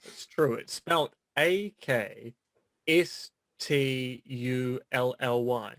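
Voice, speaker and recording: A man's voice: medium pitch, slow pace, a bit bright, no echo. Dry-witted middle-aged man, dry room. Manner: dry, wry joking. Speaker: podcast co-host. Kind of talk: casual chat.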